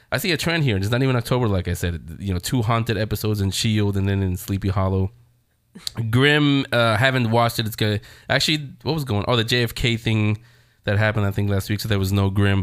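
A man's voice: lowish voice, fairly bright tone, no echo, studio quality. A man hosting a show in a casual, rambling, conversational style, talking straight to his audience. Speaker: show host. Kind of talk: casual chat reviewing TV shows.

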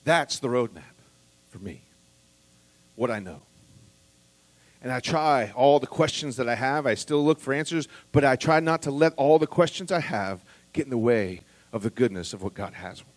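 A man preaching a sermon as pastor, earnest and conversational, rising to emphatic peaks and dropping quiet again, with restarts and asides to the congregation. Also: medium pitch, normal speed, pauses often, no echo.

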